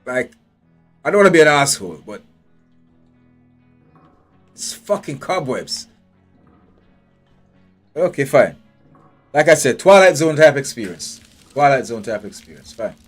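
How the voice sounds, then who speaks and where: Male streamer, mid-pitched, swears freely, questions everything, faint game music underneath. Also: very animated, measured pace, pauses often. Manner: blunt, profane, thinking aloud. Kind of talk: live gameplay commentary. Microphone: headphones with a desk mic.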